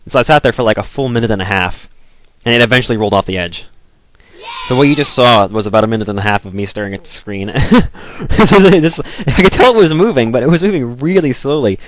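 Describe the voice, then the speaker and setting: A man's voice: medium pitch, animated, fast talker. A young man from the southern United States giving casual, rambling commentary over a video game, full of "like" and restarts. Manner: rambling, filler-heavy, restarts, frequent "like".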